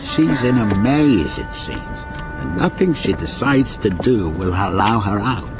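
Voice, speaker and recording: An actor playing a courteous, softly insistent storyteller and train passenger in a radio ghost story, with a measured, solicitous way of talking. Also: male voice, lowish voice, big pitch swings, music in the background. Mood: atmospheric, suspenseful.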